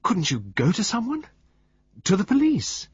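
A man's voice: medium pitch, sing-song, moderate pace, breathy edge, no room sound, pitched above the performer's own voice. A man voicing a distressed, impulsive young Englishwoman who pleads urgently. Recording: dull lo-fi recording.

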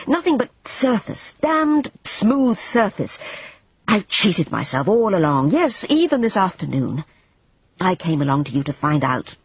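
A proud, touchy male painter, quick to fury and bitter with himself, as an audiobook reader plays him. His speech swings widely in pitch and carries a raspy, breathy grain. It sounds dry and dull, as from a plain home recording. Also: no room sound. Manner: bitter, impatient, sweeping.

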